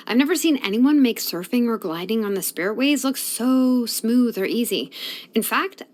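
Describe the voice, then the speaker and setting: An actress playing a laid-back, wry young female blacksmith in a fantasy video game, talking conversationally with musing pauses. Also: medium pitch, natural voice. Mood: admiring, casual. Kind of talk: scripted game character voice lines, conversational.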